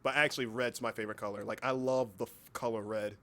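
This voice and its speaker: A man's voice: medium pitch, steady tone, fast talker, bright, forceful tone. A man hosting a casual livestream chat, speaking informally, with repeated words and occasional swearing. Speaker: livestream host and hobby voice actor.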